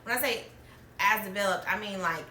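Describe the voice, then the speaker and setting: Woman in her thirties, bright and chatty, recorded in a roomy space off-mic. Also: medium pitch, a little fast, recorded from a distance, a little hiss or hum. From Alabama, US. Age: 30s. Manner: chatty, laughs often, trailing restarts. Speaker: podcast host. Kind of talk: candid storytelling chat.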